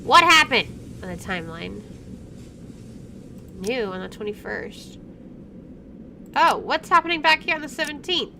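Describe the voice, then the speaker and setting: Woman doing a lets play, kinda high voice, reads the story out n chats over it, noisy background. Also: animated, unhurried, gravelly, long pauses. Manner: chatty, self-interrupting, playful reactions. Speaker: video game streamer doing a let's play. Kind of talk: reading visual novel text aloud with casual asides.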